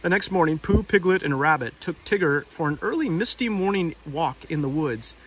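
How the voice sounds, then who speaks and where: A man's voice: a little high, lively intonation, moderate pace, fairly bright tone, rough recording. A man hosting a read-aloud outdoors, narrating a storybook with casual asides. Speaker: storybook reader and video host. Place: outdoors by a river.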